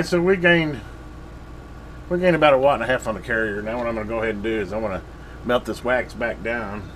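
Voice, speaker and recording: A man's voice: fairly low pitch, background noise. A man casually reviewing a radio at his workbench, rambling with lots of fillers and restarts.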